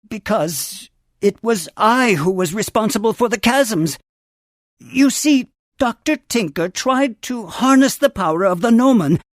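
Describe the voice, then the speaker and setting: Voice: medium pitch, dry recording, studio quality, full character voice. An actor voicing a wise, earnest male creature mentor in a video game, with a formal, theatrical storytelling delivery. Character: wise male Funkey mentor, grand, earnest tree guardian. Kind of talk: scripted video game character dialogue.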